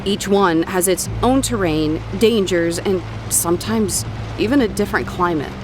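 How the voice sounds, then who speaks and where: A woman's voice: on the low side, normal speed, background noise, close to an everyday voice. An actress playing a guarded, clever woman who tinkers with tech on a prison island, in an audio drama. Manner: guarded, explanatory. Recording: music under the voice.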